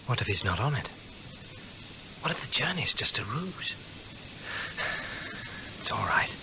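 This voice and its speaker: An actor playing an anxious, hunted British gentleman in a radio drama, nervous and hesitant, often muttering his thoughts under his breath. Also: medium pitch, big pitch swings, measured pace. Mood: tense, furtive. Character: anxious, hunted gentleman steeling himself in secret. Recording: radio drama with clear background noise.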